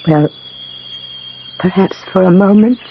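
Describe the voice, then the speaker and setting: An actress playing a serene, sad, wise guardian in an old radio drama, speaking in a measured, gentle, instructive way. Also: female voice, medium pitch, vocal fry. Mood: reserved. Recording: muffled old radio broadcast, faint noise.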